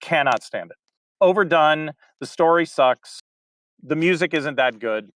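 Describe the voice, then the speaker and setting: A man's voice: on the high side, expressive, unhurried, a bit bright, poor audio. A man, a community theater director, chatting casually as an interview guest, rambling a bit and laughing easily.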